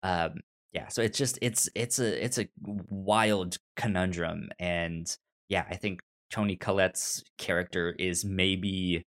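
A man co-hosting a podcast, casually discussing a film in a halting way, often repeating and restarting his words. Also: deep voice, fairly even intonation, fairly bright tone. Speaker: podcast co-host reviewing a film.

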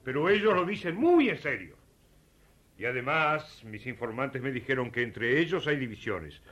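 A man's voice: medium pitch, sing-song, moderate pace, fairly bright tone, muffled recording. An Argentine man playing a zealous, hot-headed religious persecutor in radio theatre, emphatic and accusatory. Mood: scornful, conspiratorial.

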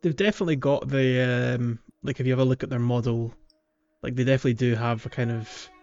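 A man's voice: mid-range voice, steady tone, quick pace, darkish tone, dull audio, faint music behind. A man co-hosting a podcast, bantering casually about a video game. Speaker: podcast co-host.

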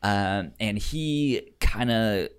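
A man's voice: on the low side, drawn-out words, clear, resonant tone. A man hosting a casual film podcast, who stammers and often repeats the beginnings of words. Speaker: podcast host.

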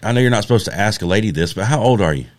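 A man in his late thirties hosts a podcast in a loose, rambling way, dropping the odd curse. His voice is very deep. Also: animated, very fast. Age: 30s. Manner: easygoing, rambling, swears. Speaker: podcast host. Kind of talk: casual chat.

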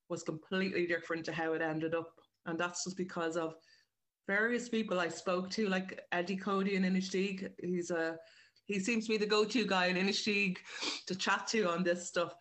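A woman's voice: fairly low pitch, fairly bright tone. An Irish woman chatting casually as an interview guest, informal and rephrasing as she goes. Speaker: writer and director, interview guest.

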